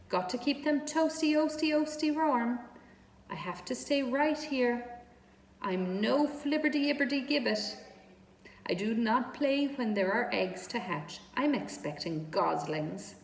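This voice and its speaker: A woman's voice: a little high, animated, slow, pitched above the performer's own voice. A woman voicing a fussy, broody mother goose who repeats her words in sing-song chatter, in a storybook reading. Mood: fussy, proud. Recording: echoey room with clear background noise.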